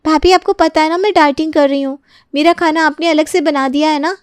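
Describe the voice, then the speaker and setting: Woman playing a high, entitled young sister-in-law. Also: steady tone, fairly quick, no echo, lightly acted. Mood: entitled, complaining.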